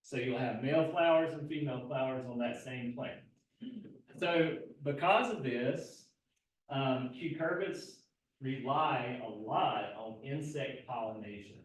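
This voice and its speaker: A soft-spoken man teaching a live class, explaining patiently with plenty of fillers and self-corrections. His voice sits at a middle pitch and does not carry. The room is very reverberant, and he is picked up from well away from the mic. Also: unhurried. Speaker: agricultural extension agent teaching a gardening class. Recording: very echoey room, mic far from speaker.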